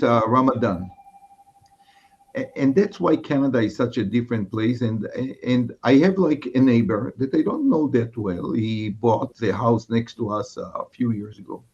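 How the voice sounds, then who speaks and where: A man's voice: mid-range voice, a little mellow, dull audio. A man from Israel with an Israeli accent, a native Hebrew speaker, speaking reflectively as a guest rabbi and often searching for his words. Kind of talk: reflective conversation.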